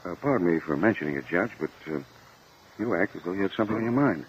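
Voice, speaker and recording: An actor playing a polite, deferential neighbor with a courteous, hesitant manner in a 1950 radio drama. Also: male voice, sits low, animated, fast. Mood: courteous, concerned. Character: polite, deferential male neighbor and chess friend. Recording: muffled, hissy vintage 1950 radio recording.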